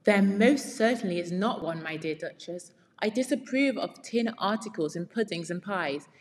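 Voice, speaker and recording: A woman's voice: medium pitch, lively intonation, normal speed, lightly acted. A woman voicing a prim, firm female cat hostess in a storybook, polite but severe. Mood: disapproving. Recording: very reverberant room.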